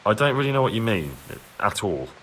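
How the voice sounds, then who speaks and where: A man's voice: on the low side, big pitch swings, fairly quick, fairly bright tone, slight background noise, low-quality recording. An actor playing an earnest, plain-spoken young man who asks questions in a video game. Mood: curious. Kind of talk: video game dialogue acting.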